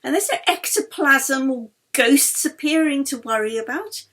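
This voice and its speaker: Woman reader as 1930s married hostess: persuasive, mildly bossy, higher-pitched with lilting swoops, audiobook drama. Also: animated, measured pace, fairly bright tone. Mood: coaxing. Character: coaxing, gently scolding society wife of the 1930s.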